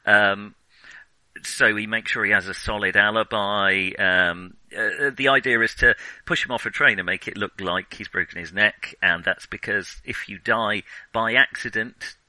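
A man's voice: fairly low pitch, steady tone, normal speed, sharp tone, no room sound, rough recording. A man co-hosting a podcast, chatting casually about a film, hesitant with many ums and restarts. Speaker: podcast co-host.

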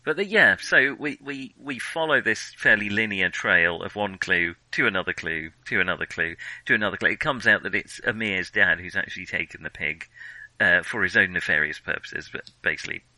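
A man co-hosting a film podcast, talking casually in an excitable, halting way as his thoughts tumble out. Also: deep voice, no echo, low-quality recording.

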